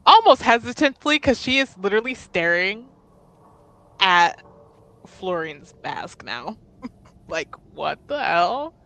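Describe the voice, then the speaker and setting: Bright-voiced woman, slow-paced tabletop player. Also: mid-range voice, low-quality recording. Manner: expressive, informal, swears. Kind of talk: casual in-character roleplay and narration.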